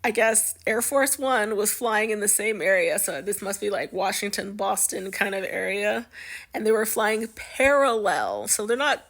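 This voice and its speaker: A woman's voice: medium pitch, animated, normal speed, a bit bright. A woman giving casual, chatty news commentary in an amused, conversational way, as if musing aloud.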